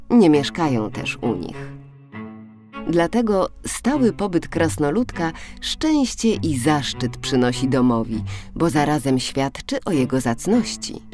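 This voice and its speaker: A woman narrating a fairy tale for children in an expressive, deliberate storytelling manner, as in an audiobook. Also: sits low.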